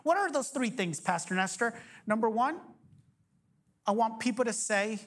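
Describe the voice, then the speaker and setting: Energetic man preaching in his forties. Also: very high voice, moderate pace. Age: 40s. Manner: energetic preaching with jokes and repeated rhetorical questions. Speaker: pastor. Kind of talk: sermon.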